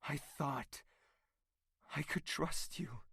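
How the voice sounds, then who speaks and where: A man acting an embittered, proud crown prince who calls himself a villain in a fantasy audio drama, theatrical and sardonic, swinging from hushed to explosive. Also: a little high, slow pace, creaky, husky, dry recording, studio quality, full character voice. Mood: wounded.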